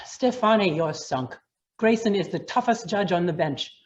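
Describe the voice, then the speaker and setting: A woman's voice: lowish voice, lively intonation, moderate pace, lo-fi sound, close to an everyday voice. A woman playing a blunt, no-nonsense gangster's lawyer in an old time radio crime drama, speaking emphatically. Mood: alarmed, urging.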